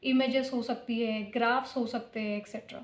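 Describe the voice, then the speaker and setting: A woman's voice: a little high, fairly even intonation, moderate pace, echoey room. A young woman teaching an exam-prep lecture, explaining each point through lists of examples and switching between two languages mid-sentence. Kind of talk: exam-prep lecture mixing Hindi and English.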